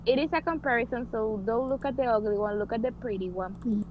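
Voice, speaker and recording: A woman playing a tabletop game over voice chat, talking quickly and eagerly. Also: fairly high pitch, lo-fi sound. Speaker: player. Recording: voice chat with background noise.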